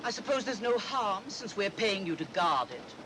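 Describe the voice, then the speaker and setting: A woman's voice: sing-song, moderate pace, natural voice. A woman playing a haughty, disdainful middle-aged aristocrat in an old mystery film, dry and imperious. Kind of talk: 1940s film acting. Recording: noisy old film soundtrack, train rumble.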